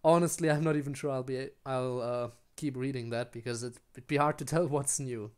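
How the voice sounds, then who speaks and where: A man's voice: mid-range voice, steady tone, brisk, clear, resonant tone. A man streaming a video game with casual commentary and wry, muttered asides to himself. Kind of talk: casual live gameplay commentary.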